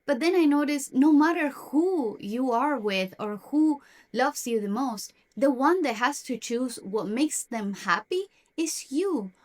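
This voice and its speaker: A young woman from Argentina, a native Spanish speaker, confides in her viewers in a self-help vlog. Her voice sits a bit high and her delivery is earnest, with the odd restart as she works through her thoughts. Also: animated, a little slow, a little mellow. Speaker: self-development vlogger. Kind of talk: personal talk to camera.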